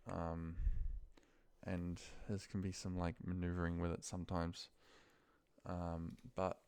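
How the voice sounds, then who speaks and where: A man's voice: deep voice, completely flat delivery, slow pace, a little mellow, clear, resonant tone, no echo. A man talking through a screen recording informally, thinking aloud and muttering to himself in an offhand way. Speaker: office worker recording a spreadsheet walkthrough.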